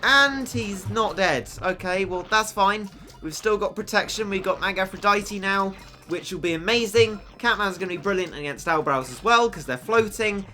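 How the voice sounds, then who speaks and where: A young man commentating a mobile game, excitable and cheeky, stammering on his repeats, his voice getting worn from shouting. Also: high voice, moderate pace, soft background music. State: losing his voice. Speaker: gaming YouTuber.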